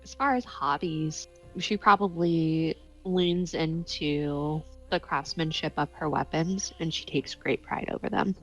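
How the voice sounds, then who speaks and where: Low, slightly muffled female voice. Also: unhurried, few pauses. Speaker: tabletop role-playing player. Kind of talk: casual improvised character discussion. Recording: slightly dull, limited-band sound. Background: faint music.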